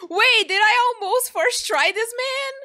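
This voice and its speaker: Excitable young woman gaming streamer. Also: extremely high pitch, measured pace. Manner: excitable, swearing, laughing reactions. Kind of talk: let's play commentary.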